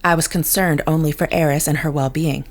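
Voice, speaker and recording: An actress playing a controlled, coolly logical telepath in an audio drama, speaking in a formal, precise, guarded way. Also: female voice, sits low, steady tone, fairly quick.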